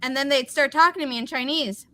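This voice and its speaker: A woman's voice: high-pitched, fast. A woman chatting casually and telling personal stories, animatedly acting out bits of dialogue. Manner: animated, acts out quoted dialogue. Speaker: livestream host. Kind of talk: casual chat, personal anecdotes.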